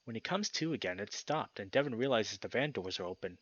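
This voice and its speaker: A man's voice: fairly low pitch, lively intonation, a little fast, fairly bright tone, husky, dry recording, muffled recording. A young man reading a visual novel aloud as a dramatic story narration for a let's play. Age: young adult.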